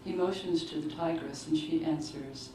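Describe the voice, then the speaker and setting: A woman reads short fiction aloud in a low voice, taking her time over each phrase. She is at a live launch, picked up from a distance in an echoing room with clear background noise. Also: fairly even intonation, moderate pace, warm tone. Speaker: author reading at a book launch. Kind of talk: literary reading of short fiction. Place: live book launch in an indoor venue. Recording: roomy, distant mic, clearly noisy live venue.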